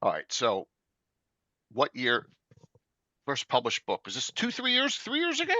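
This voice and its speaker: A man's voice: mid-range voice, huge pitch swings, unhurried, sharp tone, no echo, dull audio. A man with a South Jersey accent, hosting a casual livestream chat and talking in chatty, filler-heavy restarts. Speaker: podcast host.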